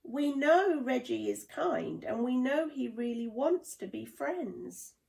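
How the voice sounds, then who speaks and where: A woman voicing a cautious, kind animal friend in a children's storybook, speaking carefully and gently. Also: high voice, expressive, slow pace, vocal fry, far from the mic, pitched above the performer's own voice, exaggerated voice.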